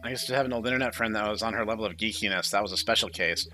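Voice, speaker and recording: A man's voice: fairly low pitch, very fast, sharp tone, slight background noise. A man reading the part of an awkward, sardonic, introspective young programmer in a visual novel. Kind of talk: visual novel read-aloud.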